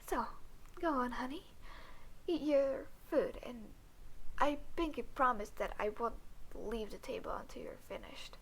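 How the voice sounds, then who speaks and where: A woman playing a scheming alien who poses as a sweet, awkward human girlfriend in an intimate roleplay audio, cool and halting, with murmured asides. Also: sits high, expressive, creaky, a little hiss or hum, exaggerated voice, poor audio. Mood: awkward, apologetic.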